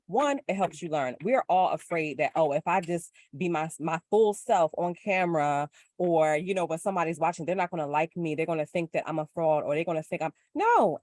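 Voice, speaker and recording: A woman with a low, gritty voice, chatting casually and reflectively as a guest, with lots of filler words. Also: dry recording, clean studio sound. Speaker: podcast guest. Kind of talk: casual spiritual chat.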